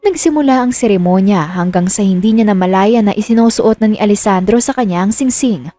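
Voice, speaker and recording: A woman's voice: medium pitch, brisk, warm tone, no echo. A woman reading scripted narration of a romantic drama as the storyteller. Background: music.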